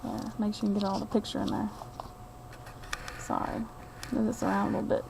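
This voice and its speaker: A middle-aged woman reading a children's picture book aloud, with expressive storytelling, deliberate phrasing and asides to her listeners. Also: mid-range voice, creaky, noisy background. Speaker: read-aloud host.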